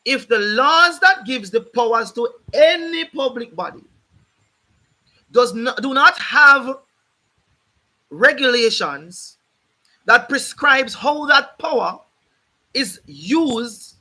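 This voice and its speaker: A hoarse man hosting a livestream, explaining a court ruling in an emphatic, repetitive, lecturing way while addressing his viewers directly. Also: very high-pitched, expressive, slow pace, long pauses, sharp tone, low-quality recording. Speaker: host of a legal rights livestream. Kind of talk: livestreamed legal commentary.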